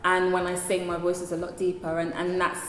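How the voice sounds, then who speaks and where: A singer being interviewed, a woman with a deep speaking voice who talks thoughtfully with many fillers and restarts. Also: monotone, brisk, echoey room, big-room sound. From London. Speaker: singer, guest. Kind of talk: casual interview talk.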